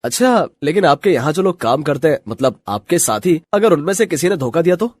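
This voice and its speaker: A man voicing a flattering, smooth-talking conman who poses as a reporter in a cartoon story. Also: on the high side, a little fast. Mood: coaxing, flattering. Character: slick, flattering male conman posing as reporter. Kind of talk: dramatized cartoon story dialogue.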